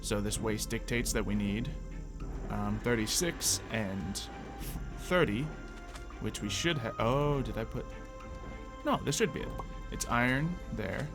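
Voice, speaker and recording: A man doing casual gameplay commentary with some light roleplay, rambling and thinking aloud as he goes. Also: on the low side. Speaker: gaming video host.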